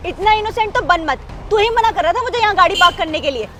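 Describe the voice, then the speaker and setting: A woman's voice: very high-pitched, lively intonation, fast. An actress playing an arrogant rich young woman who berates a guard in a short drama skit. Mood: furious. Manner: rude and shouting, later softly apologetic.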